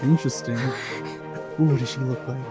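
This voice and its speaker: A man's voice: medium pitch, steady tone, moderate pace, over loud music. A man reacting casually to a video game as a let's player, chatting over it.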